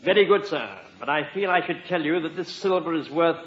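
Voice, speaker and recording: An actor performs an unflappable English butler, deferential and formal in every phrase. His voice sits high for a man, in the manner of 1950s radio comedy. The sound is muffled and strongly echoing. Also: lightly acted. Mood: polite, composed. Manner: formal, measured, courteous. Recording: muffled old radio recording, very roomy.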